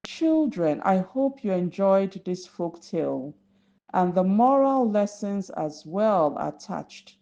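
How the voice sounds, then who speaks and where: A woman narrating a folktale for young children as a storyteller, deliberate and instructive. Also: medium pitch, big pitch swings, warm tone. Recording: slightly dull lo-fi recording.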